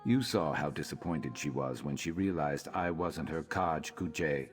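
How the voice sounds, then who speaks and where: An actor playing a gruff, sardonic lizard-man mercenary in a fantasy video game, with a dry, deadpan delivery. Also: very deep voice, measured pace, faint music behind. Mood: somber, resolute. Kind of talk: video game character dialogue.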